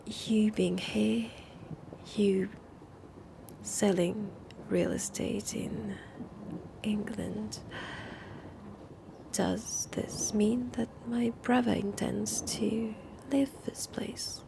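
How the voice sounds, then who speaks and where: A centuries-old vampire woman, played by a woman, whispers with a French accent in a husky, sick-sounding voice, teasing and languid. She drags every phrase out at a crawling pace over a gravelly, fry-laden edge. A clear hiss of noise sits behind her in this audio roleplay. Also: mid-range voice, steady tone, extremely slow, pauses often, exaggerated voice. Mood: sly.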